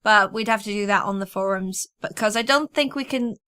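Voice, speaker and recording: A woman's voice: mid-range voice, a little fast, pushed and bright, clean tone, dry recording, clean studio sound. A woman hosting a podcast in casual chat, with an informal, wry manner. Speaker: podcast host.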